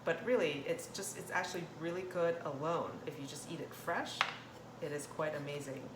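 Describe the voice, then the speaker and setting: A woman's voice: low-pitched, normal speed. A woman from Thailand hosting a casual cooking demonstration, chatting in a rambling way and often interrupting herself. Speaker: cooking channel host. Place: indoor kitchen. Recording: roomy echo, clear kitchen noise, clattering dishes. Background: cutlery and dish clatter.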